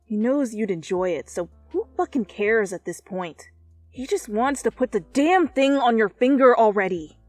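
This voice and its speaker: A woman's voice: on the high side, normal speed, dry recording. Girl reading fanfic dramatically, cusses a lot, close dry mic, light music bed. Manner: expressive, swears freely. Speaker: fan fiction reader. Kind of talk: dramatic storytelling narration. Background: soft music.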